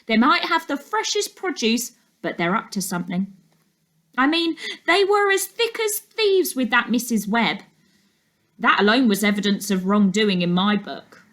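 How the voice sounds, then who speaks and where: A woman's voice: on the high side, expressive, measured pace, a bit bright. A woman voicing a gossipy, opinionated neighbour in a storybook, confiding and emphatic. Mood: indignant, confiding. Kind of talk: storybook character monologue.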